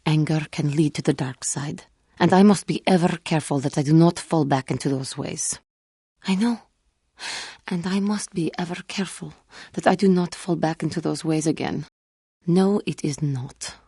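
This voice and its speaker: Game role: haunted, self-doubting alien warrior woman, low and husky, earnest formal delivery with simmering temper. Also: warm tone, no room sound, clean studio sound, heavily acted. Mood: contrite, earnest. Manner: formal, measured, earnest speech with guarded intensity. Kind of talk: video game character dialogue.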